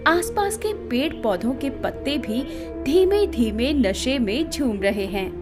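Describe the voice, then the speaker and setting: Expressive, lively female storyteller. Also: on the high side, slow pace, over music. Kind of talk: scripted bedtime story narration.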